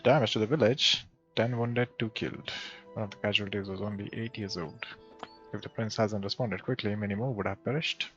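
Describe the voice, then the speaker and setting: A man playing through a fantasy game, reading the dialogue aloud and adding casual remarks, with a gravelly voice. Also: on the low side, steady tone, a little fast, fairly bright tone, dull audio. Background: game music.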